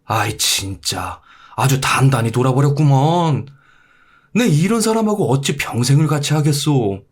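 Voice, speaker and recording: A man's voice: mid-range voice, animated, moderate pace, husky, pitched above the performer's own voice. Man acting a grumbling, blustering folktale husband. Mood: exasperated. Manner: blustering, grumbling, old-fashioned speech. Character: gruff, fed-up hard-drinking husband from old Korea.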